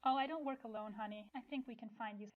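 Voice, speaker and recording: A woman's voice: on the high side, fast. A woman chatting casually as a podcast guest with playful, dry humour, on a dull lo-fi recording. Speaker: podcast guest, audio play actor. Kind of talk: casual banter. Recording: dull lo-fi sound.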